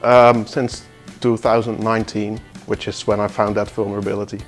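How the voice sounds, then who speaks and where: Man, lowish voice. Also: level tone, normal speed. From Netherlands. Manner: easygoing, small restarts. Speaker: bug bounty hunter. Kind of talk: casual interview answers. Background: faint music.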